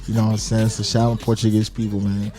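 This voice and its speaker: A man's voice: lowish voice, monotone, quick pace, loud music behind the voice. A man from France, a guest on a podcast, talking in a laid-back casual way with lots of slang fillers. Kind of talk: casual chat.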